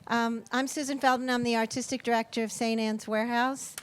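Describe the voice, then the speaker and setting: A woman giving an informal, halting welcome speech to a theatre audience as artistic director. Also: fairly high pitch, level tone, slight background noise. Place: theatre, live audience event.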